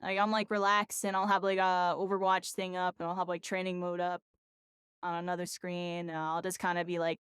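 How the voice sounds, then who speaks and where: Tired woman streamer, very smooth voice. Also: lowish voice, level tone, brisk, a bit bright, no room sound, clean studio sound. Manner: rambling, full of "like" and "you know". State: voice worn out. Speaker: game streamer. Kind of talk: casual live-stream chat.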